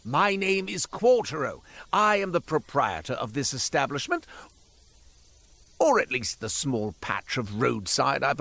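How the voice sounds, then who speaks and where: A flamboyant, devilish peddler from a video game, grandiose and wheedling. His delivery is theatrical and florid, leaping wildly in pitch at a leisurely pace. The sound is dry and close, with the polish of a salesman's patter. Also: gravelly, a bit bright, no echo, heavily acted. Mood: ingratiating, brisk. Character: flamboyant devilish roadside curio merchant, male, wheedling and grandiose. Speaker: merchant.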